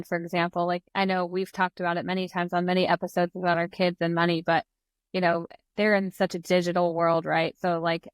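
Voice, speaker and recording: A woman co-hosting a podcast, chatty and lively when telling stories about her son. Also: fairly low pitch, dry recording, studio quality. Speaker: co-host. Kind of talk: casual interview chat.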